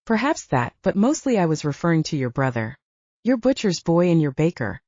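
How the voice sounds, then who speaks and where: A commanding middle-aged patriarch, voiced by a woman narrator, measured and sure of his authority. The delivery is mellow with varied intonation, in an audiobook. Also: low voice, big pitch swings, no room sound, dull audio. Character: composed, commanding father, middle-aged male leader. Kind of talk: audiobook character dialogue.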